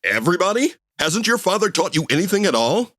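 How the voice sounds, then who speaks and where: A woman's voice: on the low side, big pitch swings, fast talker, fairly bright tone, no echo, studio quality. A woman playing a stern, easily flustered male spaceship commander in a comedy audio drama. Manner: brusque, stiff, flustered.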